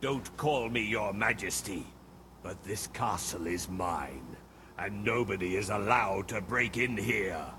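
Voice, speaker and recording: An actor playing a stern, menacing beast who rules an enchanted castle in a children's fairy tale. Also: male voice, on the low side, background noise. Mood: stern, threatening. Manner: slow, imperious, menacing delivery. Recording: music bed behind the voice.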